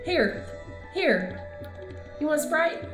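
A woman puts on a very high-pitched, cocky rapper bad guy for a comedy radio play. He brags in crude, bro-ish slang and swaggers through every line. The voice sits in a roomy echo with music clearly behind it. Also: very animated, takes it slowly, exaggerated voice. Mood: pushy, boastful.

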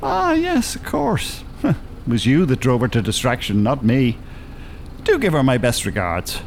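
Older man, Dublin accent, radio drama, dry biting wit, mock-formal wording, animated intonation. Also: mid-range voice, measured pace, vocal fry, slight background noise, full character voice. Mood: dry, teasing. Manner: wry, wordy, mock-formal.

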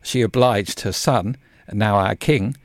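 A man's voice: fairly low pitch, takes it slowly, dry recording. A man hosting a radio interview, chatting in a conversational way. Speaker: radio host. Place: radio studio.

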